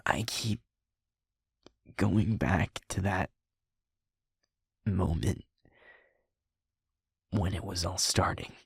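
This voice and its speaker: A young man playing a sweet, guilt-ridden werewolf who is second in command of his pack, speaking in a breathy, halting, confessional way for an intimate audio roleplay. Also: low voice, no echo, clean studio sound, exaggerated voice. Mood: haunted, subdued. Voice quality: breathy, husky, often falling to a whisper. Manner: halting, heavy pauses, confessional.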